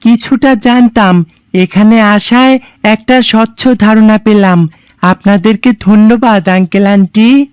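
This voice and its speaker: Woman as clear, polite young cartoon boy. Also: mid-range voice, measured pace, darkish tone, dry recording, clean studio sound, natural voice. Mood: earnest, polite. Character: polite, well-behaved, earnest young boy. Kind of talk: didactic cartoon dialogue.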